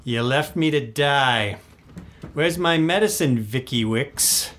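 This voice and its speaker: A woman's voice: extremely low pitch, lively intonation, slow, a bit bright, a little hiss or hum, heavily acted. A woman voicing a medium possessed by a vengeful male ghost, in old-time radio drama style, menacing and taunting.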